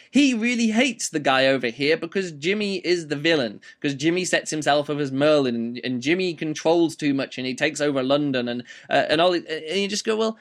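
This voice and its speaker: A man with a slightly rough voice giving a casual, rambling spoken book review, with many fillers and restarts. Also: a little high, fairly bright tone.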